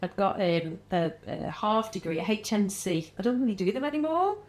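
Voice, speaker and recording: A podcast guest, a woman whose mild Liverpool-area accent colours her speech, talks in an easy conversational way. Her voice sits at a middle pitch, and she slips into little acted-out voices when retelling what people said to her. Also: a little fast. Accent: mild Liverpool-area English. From Liverpool area, England. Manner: animated, acts out quoted voices, frequent laughs. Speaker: guest, wellness business founder.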